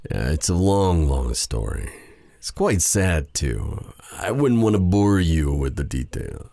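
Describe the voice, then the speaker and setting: A man playing a brooding, bitter exiled sorcerer with a tender side in an intimate ASMR roleplay drama, with a soft, halting delivery. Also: a bass voice, big pitch swings, gravelly, dry recording, studio quality, exaggerated voice. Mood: wry, guarded. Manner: halting, hesitant, sighing delivery.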